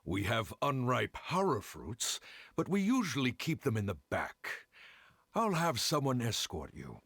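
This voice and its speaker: A man playing a shady, businesslike market trader in a video game, with a husky voice. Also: mid-range voice, huge pitch swings, a little slow, a bit bright, dry recording, exaggerated voice. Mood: guarded, businesslike.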